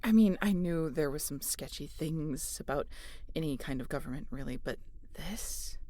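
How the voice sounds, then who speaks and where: A woman's voice: sits low, lively intonation, moderate pace, dark tone. A woman playing a guarded, sarcastic starship captain and biologist in a sci-fi audio drama, dry and often muttering. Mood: skeptical, guarded. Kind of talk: scripted sci-fi audio roleplay.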